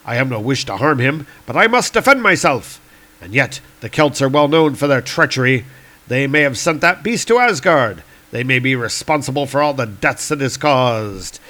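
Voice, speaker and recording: A man's voice: medium pitch, animated, moderate pace, sharp tone. A man voicing a noble, boastful thunder god from a comic book, with pompous archaic declamation. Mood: resolute. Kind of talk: reading comic book dialogue aloud.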